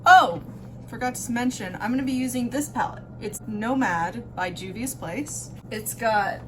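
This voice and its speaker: A woman's voice: on the high side, unhurried, background noise. Casual woman giving a makeup tutorial. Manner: self-deprecating, offhand, informal.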